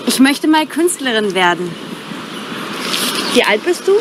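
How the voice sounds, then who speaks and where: A woman in her twenties playing a friendly young art student in a scripted exam dialogue, speaking clearly and deliberately, with street traffic behind her. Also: on the high side, big pitch swings. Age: 20s. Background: car traffic.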